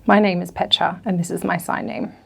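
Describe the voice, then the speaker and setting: A woman voicing a deaf speaker's talk as an interpreter, with a gravelly voice and careful, phrase-by-phrase delivery. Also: on the low side, flat delivery, fairly quick, a bit bright. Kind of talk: spoken interpretation of a prepared talk.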